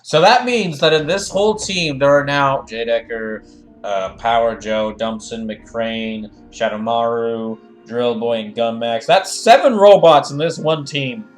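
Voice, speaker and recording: A man's voice: medium pitch, expressive, unhurried. A man chatting casually on a gaming livestream, rambling and repeating phrases as he thinks aloud. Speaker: streamer doing live fandub of a game and reading chat. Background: game music.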